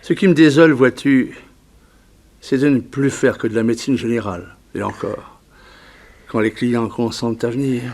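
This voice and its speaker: A creaky-voiced older doctor played by a male actor in a sixties French radio play. He is a devoted father who feels diminished, and he speaks in theatrical, sighing, self-pitying tones at a middle pitch. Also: fairly even intonation, moderate pace, a little mellow, close to an everyday voice. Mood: weary tenderness. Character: ailing, embittered older doctor, devoted father, once a surgeon. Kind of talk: 1960s French radio drama acting.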